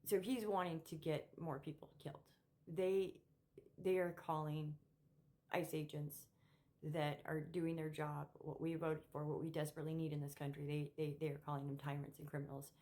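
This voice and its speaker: A middle-aged woman giving an unscripted opinion monologue as a vlogger, emphatic and halting, often repeating words as she goes. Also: deep voice, level tone, mellow. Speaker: political commentary vlogger.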